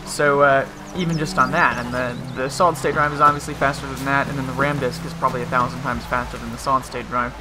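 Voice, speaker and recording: A man's voice: medium pitch, fairly even intonation, quick pace, hardly any pauses, noisy background. A man giving casual, rambling let's-play commentary and often interrupting himself. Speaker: gaming video host. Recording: game music behind the voice. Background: video game music and sounds.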